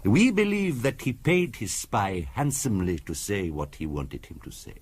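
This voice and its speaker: Radio drama role: grave, courteous mystic storyteller, portentous and formal, husky, very expressive in pitch. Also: male voice, normal speed, full character voice. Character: solemn, courteous male mystic recounting a family curse. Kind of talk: 1970s radio drama acting.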